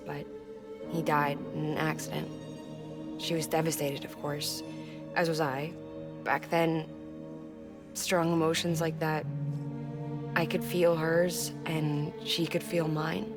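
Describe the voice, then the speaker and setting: A low voiced engineer lady from a video game, candid, kinda sad and tender, music behind her. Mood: confessional, wistful. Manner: measured, explanatory, short phrases with pauses. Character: earnest female engineer, tender and candid, quietly sorrowful. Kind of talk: video game cutscene acting.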